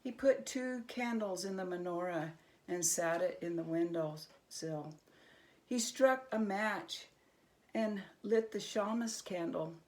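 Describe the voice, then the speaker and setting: A woman narrating a children's story as an expressive storyteller. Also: on the low side, slow.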